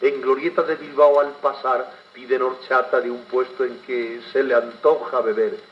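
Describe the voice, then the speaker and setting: A man's voice: medium pitch, level tone, moderate pace. A man with an accent from Spain declaiming poetry expressively in an old theatrical style, on a crackly 78 rpm record. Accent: Spanish, from Spain. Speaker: poetry reciter. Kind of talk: theatrical 1940s-style dramatic poetry recitation.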